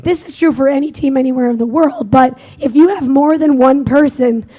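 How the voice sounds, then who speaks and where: A young woman with a squeaky voice giving an energetic, conversational talk to a live audience at a conference. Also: normal speed. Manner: energetic, conversational, self-deprecating. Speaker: conference speaker.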